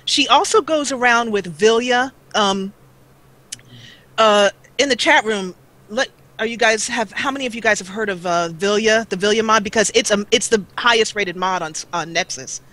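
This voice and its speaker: Woman, bright forceful voice, casual gaming chat, rambling, playful, live call. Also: medium pitch, moderate pace. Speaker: guest, gaming roleplay video creator. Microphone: low-grade microphone on a live call.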